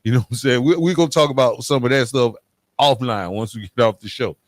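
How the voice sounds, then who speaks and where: A man's voice: mid-range voice, fast, no room sound. A middle-aged man hosts a conversational podcast. He makes his points with emphasis and keeps checking that the listener follows. The sound is dry, with very little room. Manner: emphatic, punctuates points with tag questions. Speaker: podcast host.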